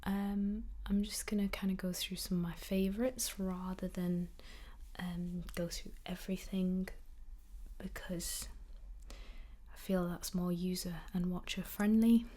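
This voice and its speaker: A young woman whispering in a soft-spoken ASMR chat, slightly croaky while getting over an illness, with frequent ums. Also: lowish voice, steady tone, unhurried. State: recovering from illness, at times croaky. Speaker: ASMR creator.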